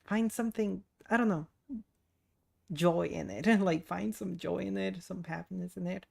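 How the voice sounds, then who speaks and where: A woman's voice: fairly low pitch, gravelly, a little mellow. A woman livestreaming a game, talking to her audience in an informal, giggly, chatty way. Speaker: streamer. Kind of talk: casual livestream chat while gaming.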